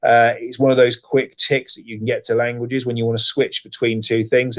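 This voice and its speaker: Man walking through software steps. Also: mid-range voice. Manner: plain, step-by-step explaining with occasional fillers. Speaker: teacher trainer. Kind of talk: screen-share software tutorial.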